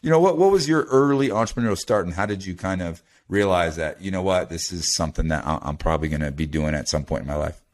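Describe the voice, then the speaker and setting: Male podcast host from the US; low, chatty, animated, prone to restarts. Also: fast. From United States. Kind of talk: casual interview talk.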